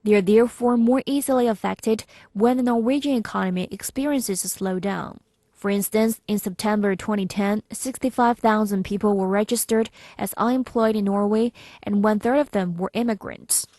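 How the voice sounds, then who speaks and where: Dry, measured female news reporter. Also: medium pitch, steady tone, mellow, no echo. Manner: measured, formal reading. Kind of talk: scripted news narration.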